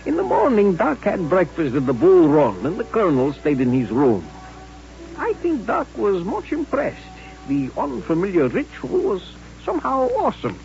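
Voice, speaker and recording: An actor playing a reflective frontier storyteller, narrating remembered events in a scripted old-time radio western. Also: male voice, sits high, huge pitch swings, normal speed, vocal fry, darkish tone, soft background music, heavily acted. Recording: dull old radio recording.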